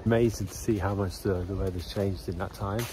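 A man's voice: low-pitched, steady tone, brisk, noisy background. A man giving cheerful daily weather updates outdoors in a city for his travel vlog. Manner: upbeat, chatty weather updates. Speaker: travel vlogger. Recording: background music over outdoor ambience.

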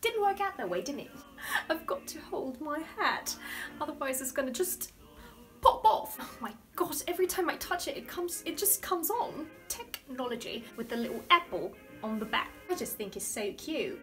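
Comic bit: a woman playing a scatterbrained old dame, high and singsong, prim but crude, wanders off topic. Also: big pitch swings, normal speed, pushed and bright, soft background music, full character voice. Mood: rambling, genteel. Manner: rambling, easily distracted, prim yet crude. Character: dotty older British lady, baffled by technology. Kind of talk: comedic character monologue to camera. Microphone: phone camera.

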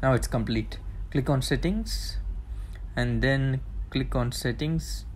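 A man presenting a tech tutorial, explaining the setup step by step in a plain, halting way with fillers. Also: medium pitch, a little mellow, a little hiss or hum. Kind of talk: casual step-by-step demonstration.

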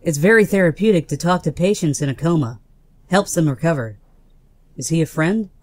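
A man playing a calm, friendly hospital worker in a comic book dub. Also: on the high side, fairly quick, no echo.

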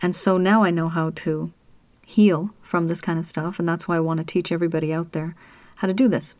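A woman from Canada giving a slow, earnest talk about spirits as a spiritual teacher, with pauses and the odd whispered word. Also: fairly low pitch, fairly even intonation, a little mellow, no room sound. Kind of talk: solo spoken talk on spirits.

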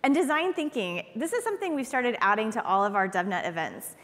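A woman's voice: a little high, sing-song, fairly quick, a bit bright. Upbeat woman presenting on a stage. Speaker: conference presenter. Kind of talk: keynote speech. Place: large conference hall stage. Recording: long hall reverb.

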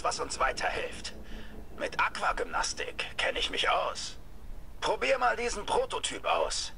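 A man playing a gruff, wry rogue schemer in a space video game, with a gravelly, folksy, sardonic delivery. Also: high-pitched, pushed and bright, slight background noise, full character voice.

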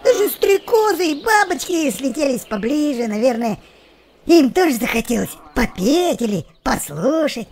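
A woman narrating a scripted children's story in an expressive, animated way. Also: sits high, moderate pace. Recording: noticeable background noise with birdsong. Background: birdsong and nature ambience.

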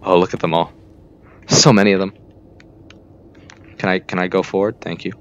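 A man's voice: deep voice, level tone, moderate pace, highs cut off, slight background noise. A congested young man giving playful, joking commentary as he plays a game. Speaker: gamer. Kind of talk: casual let's-play commentary. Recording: voice over loud game music.

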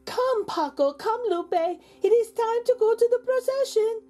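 A woman's voice: very high-pitched, unhurried, warm tone, faint music behind, voice pushed up. A woman voicing a worried motherly village neighbour in a children's storybook, calling out urgently. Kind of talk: children's storybook read-aloud.

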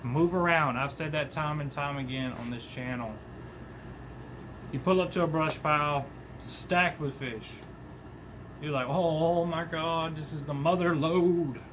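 A man's voice: on the high side, measured pace, noisy background. A man in his thirties hosting a fishing channel, giving casual, folksy tips to the camera from a small workshop. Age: 30s. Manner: chatty, folksy, animated with hand gestures. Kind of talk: casual talking-head tips.

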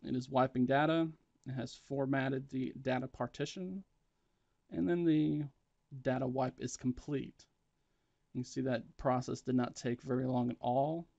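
A man's voice: medium pitch, fairly even intonation, a little slow, creaky, a little mellow, no echo, muffled recording. A man presenting a step-by-step tech tutorial in a plain, matter-of-fact instructional way.

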